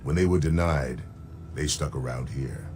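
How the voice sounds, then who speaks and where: An actor playing a gruff, plainspoken frontier sheriff in a video game, wary but decent, with folksy, blunt talk. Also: male voice, very deep voice, moderate pace, a little mellow. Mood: plainspoken, wry. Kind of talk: video game character dialogue. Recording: music behind the voice.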